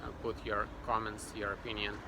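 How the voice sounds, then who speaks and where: A man's voice: fairly low pitch, monotone, fairly quick. A man hosts a walking tour outdoors, speaking hesitantly with many fillers and restarts. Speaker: vlog host. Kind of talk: casual walking tour narration. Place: outdoors on a city street. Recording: loud street traffic noise.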